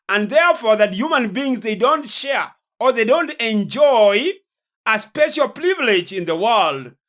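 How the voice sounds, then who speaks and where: Preacher for a religious lecture: slow pace, emphatic and deliberate delivery. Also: mid-range voice, sharp tone.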